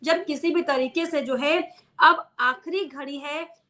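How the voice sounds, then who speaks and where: A woman's voice: sits high, slow, sharp tone. A woman news presenter giving an unscripted explainer to viewers, repeating key phrases for emphasis.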